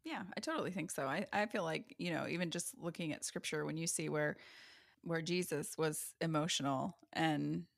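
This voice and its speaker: A woman's voice: deep voice, moderate pace, vocal fry, no room sound, studio quality. A woman hosting a podcast interview in a casual, chatty way, laughing easily and using lots of "like". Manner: warm, laughs easily, many "like" fillers. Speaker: podcast host.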